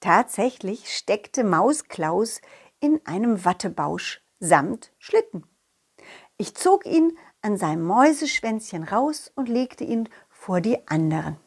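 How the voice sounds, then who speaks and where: A woman voicing a confident, loyal dog who tells his adventures directly to children in an animated, childlike way. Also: medium pitch, slow pace, breathy edge, pitched below the performer's own voice, exaggerated voice. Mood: storytelling. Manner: childlike, animated, addresses young listeners directly. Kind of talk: children's audio story narration.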